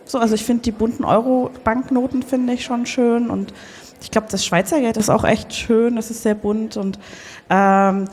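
A woman playing an excitable, earnest grown-up child star, gushing and rambling naively in an improvised audio play. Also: a little high, normal speed, close to an everyday voice. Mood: earnest musing. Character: excitable, earnest grown-up former child talent-show contestant. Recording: very echoey hall, clear background noise.

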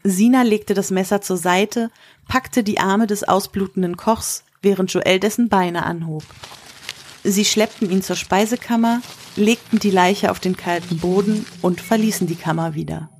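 A woman narrates a scripted audio drama. Her voice is medium in pitch, reading prose as a storyteller between the characters' lines. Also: moderate pace, darkish tone, slight background noise.

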